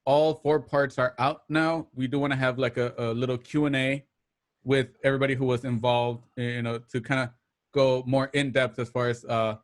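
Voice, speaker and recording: A man hosting an online show, giving casual, slightly halting announcements and plugs to listeners. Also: medium pitch, little pitch movement. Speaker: host of an online radio drama show.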